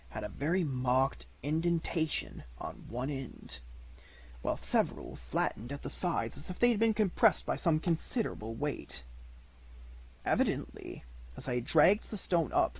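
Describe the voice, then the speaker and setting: Lively, husky, higher-voiced man reading aloud. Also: low-quality recording. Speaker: amateur audiobook reader. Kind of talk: scripted narration of a classic detective story.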